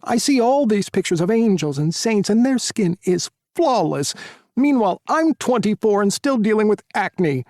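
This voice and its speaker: Sassy campy young vet guy, voiced by a man, high lively voice, mellow tone, dry audiobook sound. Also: moderate pace, no echo. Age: 20s. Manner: theatrical, camp, mock phone call. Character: sassy flamboyant young male veterinarian.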